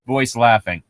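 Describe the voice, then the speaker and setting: A man co-hosts a casual comedy reading show in a sardonic, sweary manner. His pitch is lively and swings around as he gets exasperated. The sound is dry, with very little room in it. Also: no room sound. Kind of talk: casual riffing while reading horror stories aloud.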